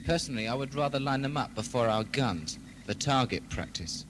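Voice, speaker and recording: A man's voice: mid-range voice, lively intonation, fairly quick, noisy background, close to an everyday voice. A man playing a callous, trigger-happy prison ship guard in an amateur audio drama, clipped and theatrical. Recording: loud music or effects, old cassette.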